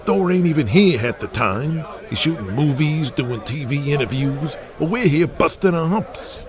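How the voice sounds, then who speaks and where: Actor as a high-pitched, mouthy, resentful wrestler. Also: male voice, lively intonation, normal speed, lightly acted. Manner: complaining, brash, slangy. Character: resentful, ambitious, mouthy male pro wrestler. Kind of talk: audio drama acting. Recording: crowd noise behind the voice. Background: arena crowd.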